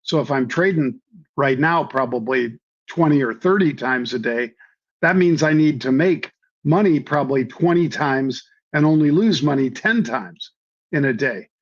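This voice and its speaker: Hoarse guy explaining trading, lots of ums, kinda dull lo-fi sound. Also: medium pitch, animated, moderate pace. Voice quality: hoarse from years of floor yelling. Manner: folksy, repeats phrases, many ums. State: slightly hoarse. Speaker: trading guest. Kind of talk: conversational explanation. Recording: dull lo-fi audio.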